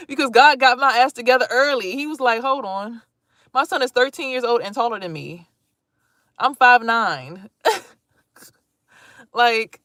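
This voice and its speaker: A woman's voice: a little high, no room sound, clean studio sound. Blunt chatty woman in her thirties. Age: 30s. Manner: blunt, animated, swearing freely, chatty asides to viewers. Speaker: vlogger streaming to viewers. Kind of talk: casual live-stream talk.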